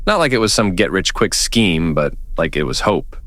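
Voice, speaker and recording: A man's voice: low voice, big pitch swings, a bit bright, no echo. A man playing an earnest, plainspoken small-town local in an audio drama, telling his story in a folksy, reflective way. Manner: earnest, folksy, deliberate phrasing.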